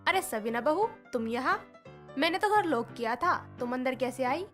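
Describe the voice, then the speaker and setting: A woman voicing a wary older mother-in-law and landlady in a storybook tale, over soft background music. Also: sits high, lively intonation, moderate pace. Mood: surprised. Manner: anxious, pleading.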